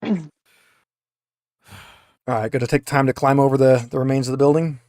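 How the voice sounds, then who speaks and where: A man's voice: mid-range voice, steady tone, normal speed, darkish tone, no echo, clean studio sound. Casual man running a tabletop game. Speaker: game master. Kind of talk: narrating a tabletop roleplaying game.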